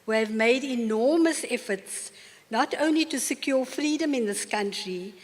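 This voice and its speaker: An older woman with a South African accent giving a formal acceptance speech in a large university hall, measured and earnest. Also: a little high. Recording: long reverb of a large hall.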